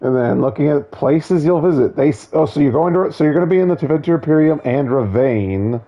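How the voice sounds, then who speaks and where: Hoarse gravelly man rambling about games. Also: fairly high pitch, lively intonation, brisk, lo-fi sound. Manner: opinionated, digressive, many restarts. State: hoarse, losing his voice. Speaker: gaming video commentator. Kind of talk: casual rambling commentary.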